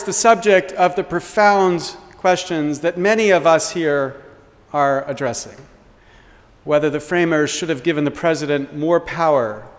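American man lecturing in a reverberant hall. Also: fairly high pitch. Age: middle-aged. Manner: measured, deliberate, gestures while speaking at a lectern. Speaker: law professor giving opening remarks. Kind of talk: formal conference address. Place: wood-panelled lecture hall. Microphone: podium microphone.

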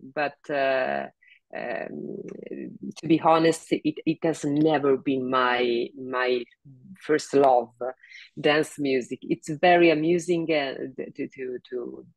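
A middle-aged woman singer with a big, low speaking voice talks slowly on a video call. She halts often with fillers and breaks into laughs. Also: fairly even intonation, no echo, rough recording. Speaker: singer, interview guest. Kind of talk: casual video call interview. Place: home room, seated in office chair.

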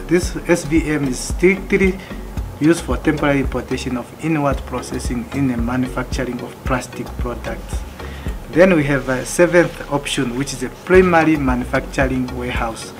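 A man in his thirties from Namibia, calmly going through customs warehouse rules one by one, with some restarts. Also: mid-range voice. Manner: plain, methodical, listing items with occasional restarts. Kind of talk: explanatory presentation. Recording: music playing behind.

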